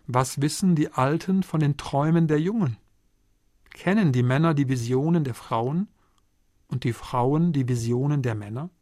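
A man preaching a measured, earnest Pentecost sermon, asking rhetorical questions as he goes. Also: mid-range voice, darkish tone, dry recording, clean studio sound. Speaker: preacher, theology lecturer.

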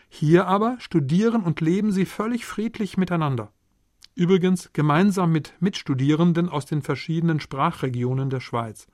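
Earnest preacher, dry close sound. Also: male voice, fairly high pitch, dry recording. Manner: measured, earnest preaching with rhetorical questions. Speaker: preacher, theology lecturer. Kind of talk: Pentecost sermon.

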